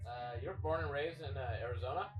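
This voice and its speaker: A man's voice: mid-range voice, fast, reverberant, recorded from a distance, highs cut off. A man hosting a casual interview, asking questions in a rambling, filler-heavy way and swearing casually. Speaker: podcast host.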